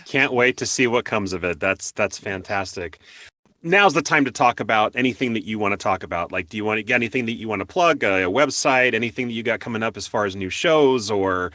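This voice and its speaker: A man's voice: fairly low pitch, lively intonation, fairly quick, bright, forceful tone, dry recording. An American man hosting an interview show in a casual, affable and appreciative way.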